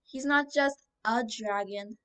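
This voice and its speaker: A woman's voice: a little high, takes it slowly, fairly bright tone, clean tone, distant mic. A young female reader voicing a bossy, proud red-haired girl who commands her dragon in a storybook.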